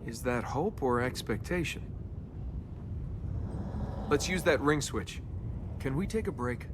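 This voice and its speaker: A man's voice: medium pitch, expressive, slow pace, noisy background. An actor playing a cocky, wisecracking young male adventurer in a video game, glib and sarcastic in his banter. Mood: wry, casual. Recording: constant game ambience behind the voice.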